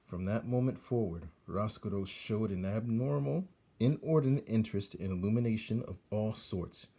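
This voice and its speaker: Low-pitched, husky man reading a story. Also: moderate pace, dark tone. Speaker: teacher reading aloud. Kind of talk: expressive storybook narration.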